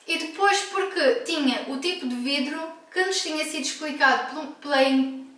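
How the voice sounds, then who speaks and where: A woman's voice: high-pitched, pushed and bright. A woman from Portugal with a European Portuguese accent, talking frankly and emphatically in a casual home vlog, recorded at a distance in an echoey room at home. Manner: frank, emphatic, with self-corrections. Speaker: vlogger.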